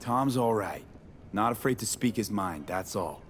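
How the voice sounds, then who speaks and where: A man voices a tough young video game mercenary. The voice sits fairly low and comes in terse, cool fragments, stubborn and unfussy, over a faint rumble. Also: animated, normal speed, lightly acted. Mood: cool, measured. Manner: terse, drops subjects, matter-of-fact. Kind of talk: video game dialogue acting.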